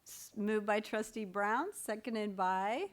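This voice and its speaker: A woman's voice: medium pitch, fairly even intonation, takes it slowly. Formal woman chairing a meeting. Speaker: school board meeting chair. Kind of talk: formal procedural meeting script.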